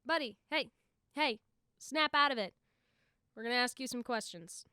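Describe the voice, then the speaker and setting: A bossy young god played by a woman hosts with a high, swooping voice in a dry, close recording. The delivery is theatrical and officious, easily tipped into exasperation, in audio drama style. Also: expressive, moderate pace, bright, forceful tone, smooth, no room sound. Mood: brisk host. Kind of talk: amateur audio drama acting.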